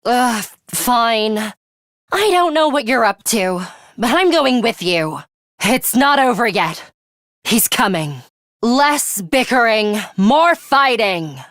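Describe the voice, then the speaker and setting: A woman playing a serious, composed young female fighter in a video game, dryly scolding and sharp-tongued but caring. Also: fairly high pitch, big pitch swings, no room sound, studio quality, full character voice. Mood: urgent, firm. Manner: measured, stern, dryly scolding.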